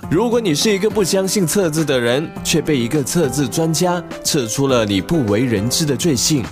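Animated male presenter over music. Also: a little high, moderate pace. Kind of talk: scripted radio drama introduction.

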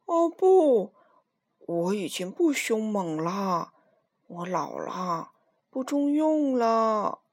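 A woman voicing a sly old male circus lion, slow and booming in a children's storybook reading. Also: expressive. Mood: mournful.

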